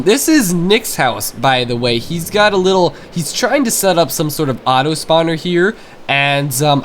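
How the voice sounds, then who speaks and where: Young male gaming YouTuber, congested from a cold, upbeat rambling let's-play chatter, voice on the higher side. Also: a little hiss or hum. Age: young adult. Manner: chatty and excitable, many fillers. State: stuffy from a cold. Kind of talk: casual Minecraft let's play commentary.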